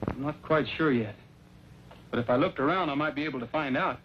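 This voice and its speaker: A man acting as a calm, plain-spoken cowboy hero who takes charge in an old Western drama. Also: on the high side, brisk, lightly acted. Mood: reassuring, planning. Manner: plain-spoken, steady, reassuring. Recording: dull, noisy old radio recording.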